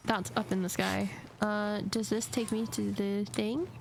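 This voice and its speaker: A woman's voice: medium pitch, little pitch movement, unhurried, creaky, slight background noise. A woman livestreamer giving casual, playful game commentary, often repeating phrases to herself. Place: livestream with game music behind.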